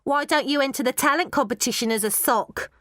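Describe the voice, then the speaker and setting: A woman voicing a sneering orphan girl who bullies, with a loud mocking delivery. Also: high-pitched, fairly quick, no echo, clean studio sound, voice pushed up. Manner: mocking, jeering.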